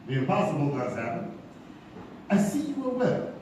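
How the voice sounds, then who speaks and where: Suave, silky villain, high-pitched and swooping. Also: male voice, big pitch swings, normal speed, a little mellow. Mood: cold menace. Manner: florid, mock-courteous, silky speech. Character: suave, menacing male gambler and killer. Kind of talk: live stage radio-play acting. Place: theater stage before an audience. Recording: echoey theater, distant mic, background noise.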